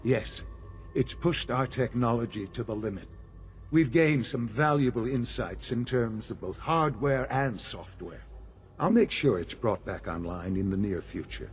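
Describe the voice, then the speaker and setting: An actor playing an elderly male leader of a scientific institute in a video game, speaking in a measured, formal, softly persuasive way. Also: medium pitch, animated, natural voice. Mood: measured, proud.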